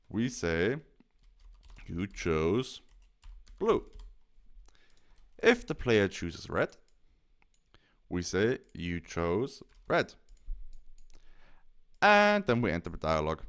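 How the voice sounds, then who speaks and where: A man with a fairly low, clean and resonant voice narrates a software tutorial. He explains each step in turn, sometimes stopping to rephrase himself. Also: clean tone. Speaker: tutorial presenter.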